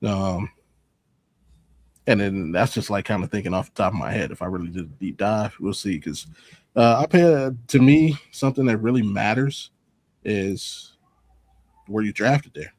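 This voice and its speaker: A man's voice: lowish voice, normal speed, pauses often, darkish tone, dry recording. A man on a sports talk panel, casually reasoning through his opinions off the cuff in an analytical way.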